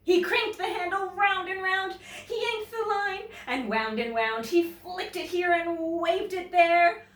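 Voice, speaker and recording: A woman teacher with a Canadian accent tells a children's story in an unhurried, expressive way. Her intonation is lively. The room around her adds a noticeable echo. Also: very high voice, far from the mic. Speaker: teacher reading stories to children. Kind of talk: children's storybook read-aloud with casual introduction. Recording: roomy echo, away from the mic.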